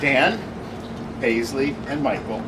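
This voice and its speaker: A man's voice: medium pitch, lively intonation, slow pace. A man reading a humorous tribute speech at a celebration, with wry, joking asides. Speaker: speech reader at a birthday celebration. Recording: distant mic, loud room noise.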